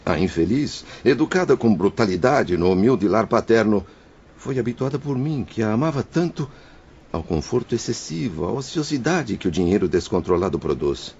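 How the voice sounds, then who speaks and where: A man's voice: on the low side, expressive, normal speed, a little mellow, lo-fi sound, slight background noise. A man playing a remorseful spirit of a father. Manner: contrite, solemn confession. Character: remorseful male suicide spirit, husband and father. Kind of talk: audio drama acting.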